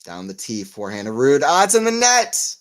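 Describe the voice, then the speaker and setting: A man's voice: mid-range voice, brisk. Casual male tennis stream commentator. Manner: excitable on rallies, rambling and self-mocking between points. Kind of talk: live play-by-play and casual chat.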